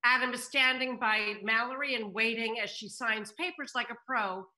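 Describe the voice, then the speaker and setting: A woman's voice: a little high, normal speed, sharp tone, rough recording. An older woman reading scripted stage directions as host of a table read, at home on a video call. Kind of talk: scripted narration. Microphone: computer mic on a video call.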